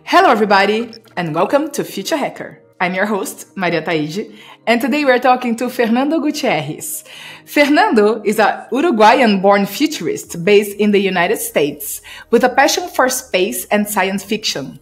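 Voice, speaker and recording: A woman's voice: mid-range voice, animated, normal speed, soft background music. A woman in her forties hosting a podcast interview, speaking eagerly with slightly unusual phrasing into a studio mic with a pop filter. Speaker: podcast host. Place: home studio, indoors. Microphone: studio mic with pop filter and headphones.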